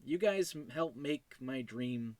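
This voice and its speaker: Male creator-host, medium pitch, dry sound. Effusive, emotional, off-the-cuff delivery with excited stumbles and restarts. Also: slow pace, no room sound. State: emotional, overjoyed. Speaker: host and creator of an animated web series. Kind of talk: casual unscripted thank-you monologue.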